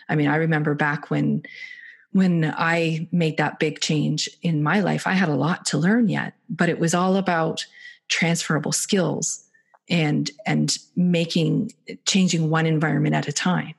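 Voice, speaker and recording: A woman's voice: sits low, creaky, breathy edge. A Canadian woman co-hosting a podcast, reflective and searching for words with many fillers. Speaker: podcast co-host. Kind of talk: casual podcast conversation.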